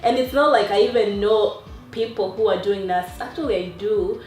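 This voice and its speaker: Woman from Kenya as a soft-spoken guest, mid pitch, a little far from the mic in an echoey room. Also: normal speed, soft background music. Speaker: interview guest, nurse. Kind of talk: casual interview.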